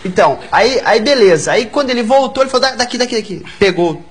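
A Brazilian man in his thirties with a stutter, telling a comic anecdote haltingly and repeating words as a talk show guest. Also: sits high, animated. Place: large TV studio with audience. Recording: lo-fi TV studio tape, loud audience noise. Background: loud audience laughter.

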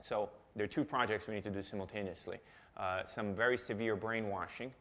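Low-voiced man answering audience questions. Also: fairly quick. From Italy. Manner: animated, acts out quotes in shifting voices. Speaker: guest speaker. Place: conference room with audience.

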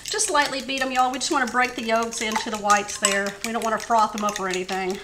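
A woman's voice: on the high side, steady tone, fast talker, noisy background. A woman hosting a home cooking demonstration in her kitchen, chatty and upbeat, talking to viewers as y'all. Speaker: cooking show host. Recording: echoey kitchen.

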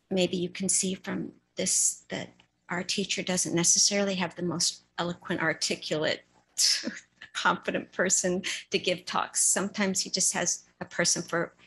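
A middle-aged woman with a soft voice giving an informal dharma talk in a meditation hall into a stand mic, halting and full of fillers. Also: fairly low pitch, steady tone, breathy edge. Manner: halting, with many fillers and restarts. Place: meditation hall shrine room, indoors. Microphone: stand mic in front of her.

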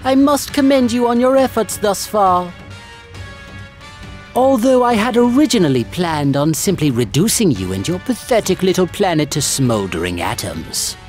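Pompous, lilting alien emperor. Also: male voice, high-pitched, very animated, moderate pace, darkish tone, faint music behind. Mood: smug, condescending. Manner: pompous, formal, condescending. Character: haughty male alien emperor, cruel, refined and theatrical. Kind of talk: dramatic English game dub acting.

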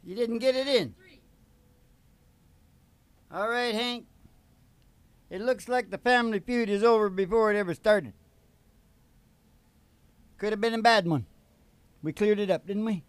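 A man's voice: sits high, measured pace, pauses often, smooth, no room sound. An older man hosting a live country music show with rambling, folksy banter and a theatrical showman's manner, speaking into a stand microphone. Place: small curtained stage, indoors.

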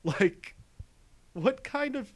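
A man's voice: very high-pitched, lively intonation, drawn-out words. A man giving mocking reaction commentary as a video commentator, exasperated, sweary and stammering.

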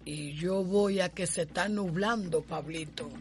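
A woman's voice: lowish voice, unhurried, loud music behind the voice, close to an everyday voice. An actress playing a wise, sly, folksy middle-aged mother in a Cuban radio drama, drawling knowingly in a rural Cuban accent. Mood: sly, soothing. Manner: drawling, knowing, proverb-laden.

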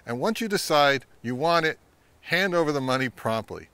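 A middle-aged man giving advice to camera as a channel host, speaking in a measured, instructive way. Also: fairly high pitch, lively intonation, fairly bright tone, clean tone, no echo. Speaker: host of a vintage audio channel. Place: outdoors, among trees or on a porch.

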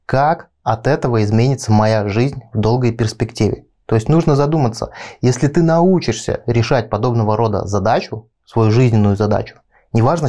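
Mellow-voiced man coaching viewers. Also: medium pitch. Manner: explanatory, step-by-step, direct address. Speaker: video blog host, coach and trainer. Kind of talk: instructional talk to camera, addressing the viewer. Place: small indoor studio with panelled backdrop. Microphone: lapel mic.

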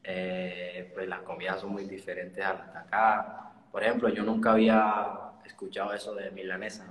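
A young man from Colombia with a Caribbean coast accent, answering interview questions over a phone video call, often trailing off softly at the ends of phrases. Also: low-pitched, little pitch movement, normal speed. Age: young adult. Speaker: youth football player, guest. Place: indoors, small room. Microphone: phone front camera. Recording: echoey, reverberant phone audio.

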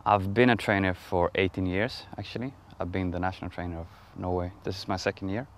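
A man with a Swedish accent, a riding team trainer, speaking enthusiastically in an interview and trailing off softly at the ends of phrases. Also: deep voice, fairly even intonation, fairly quick. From Sweden.

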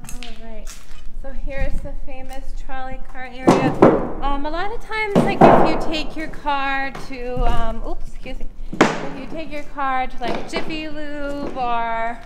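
A woman's voice: high voice, a little slow. Chatty young woman in an echoey hall. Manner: chatty, loose, many fillers. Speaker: urban explorer host. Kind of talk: casual guided walkthrough. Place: large abandoned industrial building, indoors. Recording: echoey hall, noisy room tone.

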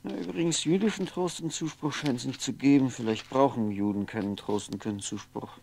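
An actor playing a lonely, brooding man in a radio drama monologue, musing haltingly to himself, wistful and wry, at times dropping to a whisper. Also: medium pitch, creaky, a little mellow, lightly acted. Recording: noisy 1960s radio recording, faint hum.

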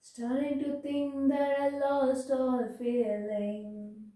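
A young woman softly singing a pop love ballad with no accompaniment, sitting on a bed in a small bedroom with a handheld mic. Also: sits high, flat delivery, very slow. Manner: soft, unaccompanied singing. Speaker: singer. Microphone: handheld mic held close. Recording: very echoey room, voice sounding distant.

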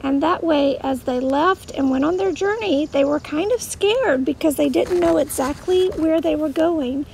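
High-voiced woman telling kids a story. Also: moderate pace, vocal fry, hardly any pauses, darkish tone, slight background noise. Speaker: children's Bible teacher.

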